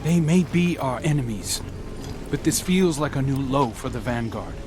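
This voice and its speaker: A man's voice: medium pitch, moderate pace, over music, lightly acted. A man playing an earnest, brooding younger warrior with a troubled conscience in a dramatic video game scene. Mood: indignant.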